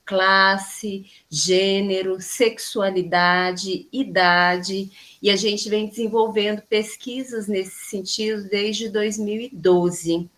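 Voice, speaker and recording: Brazilian woman prof on a video call, slow and careful, lots of pauses. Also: medium pitch, fairly even intonation, a bit bright. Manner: slow, measured, many hesitations. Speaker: anthropology professor. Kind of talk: video call self-introduction.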